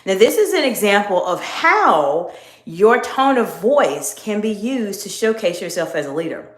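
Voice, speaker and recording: A middle-aged woman coaching viewers on leadership in a live stream, speaking in a measured, emphatic teaching tone. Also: medium pitch, very animated. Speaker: executive coach. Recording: echoey room.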